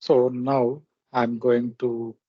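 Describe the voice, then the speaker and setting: A man narrating a software tutorial step by step, speaking haltingly in short phrases. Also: medium pitch, level tone, no echo. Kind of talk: step-by-step screen demonstration narration. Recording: dull, lo-fi close microphone recording.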